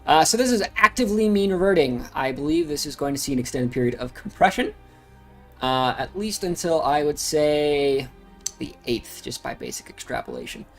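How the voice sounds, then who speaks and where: Man, casual chart-streamer chatter, rambling with fillers, slightly high voice, faint music behind. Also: lively intonation, moderate pace. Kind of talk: casual livestream chart commentary. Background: music playing.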